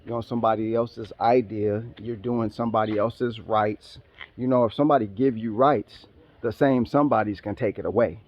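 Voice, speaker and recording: A man's voice: on the low side, fairly even intonation, moderate pace, muffled recording. A man giving a rambling casual monologue outdoors, full of street slang and asides. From Philippines heritage, raised elsewhere. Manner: rambling, streetwise slang, talks back to animals. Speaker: vlogger.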